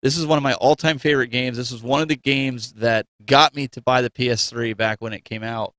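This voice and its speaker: A man's voice: medium pitch, fairly even intonation, a little fast, a bit bright, dry recording. A man co-hosting a gaming show, chatting casually to his audience and fellow hosts. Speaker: co-host of a gaming news show. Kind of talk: casual chat.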